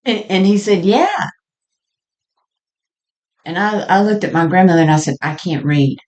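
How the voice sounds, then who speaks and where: A woman telling personal stories in a halting, conversational way with frequent small chuckles as she reminisces. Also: on the low side, darkish tone, lo-fi sound. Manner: halting and conversational, often chuckling mid-sentence. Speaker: storyteller sharing personal experience.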